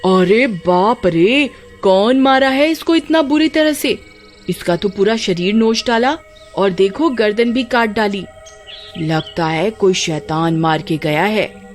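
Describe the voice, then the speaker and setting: A woman voicing a shocked, upset villager in an animated horror story, exclamatory and wailing. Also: mid-range voice, slow, faint music behind.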